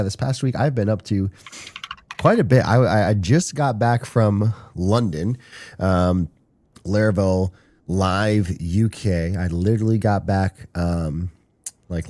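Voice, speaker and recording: A man co-hosting a casual podcast chat while sick, speaking haltingly with frequent pauses and "um"s. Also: low voice, mellow, no echo. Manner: hesitant, halting, many "um"s. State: sick, under the weather. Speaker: co-host.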